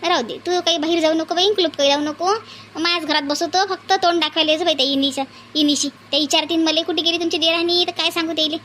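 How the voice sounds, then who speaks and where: A woman's voice: extremely high pitch, fairly even intonation, quick pace, a bit bright, a little hiss or hum. A woman playing a poor, meek village mother in a cartoon comedy, pleading hesitantly with a Varhadi Marathi accent. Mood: plaintive resignation. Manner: hesitant, plaintive, coaxing.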